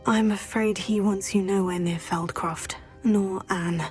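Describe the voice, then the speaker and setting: An actress playing a composed, sympathetic teenage student witch in a video game cutscene, speaking in a measured, polite way. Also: mid-range voice, fairly even intonation, a little mellow, faint music behind, natural voice. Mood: cautious.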